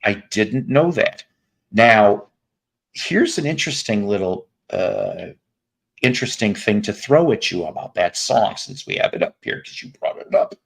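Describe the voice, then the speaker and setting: A man with a raspy, hoarse voice hosting a music podcast, joking and rambling through tangents in casual chat. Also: lowish voice, very animated, a little slow. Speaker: podcast host.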